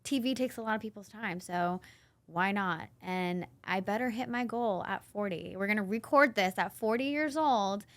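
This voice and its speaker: Chatty, animated woman in her thirties. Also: medium pitch, moderate pace. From Northern Virginia, USA. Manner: chatty, animated storyteller, laughs often. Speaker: podcast guest. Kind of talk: casual interview chat.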